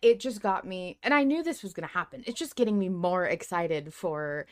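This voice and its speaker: A woman hosting a fan podcast in casual chat, effusive and excitable, quick to play down her own part. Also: on the low side. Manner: excitable, effusive, self-deprecating. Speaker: podcast host.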